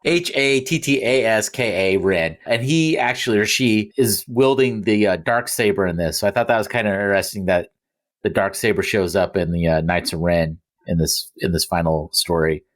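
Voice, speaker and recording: A man with a slightly low voice chats as a podcast co-host. His delivery is casual and halting, and he often corrects himself mid-thought. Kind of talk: casual fan discussion.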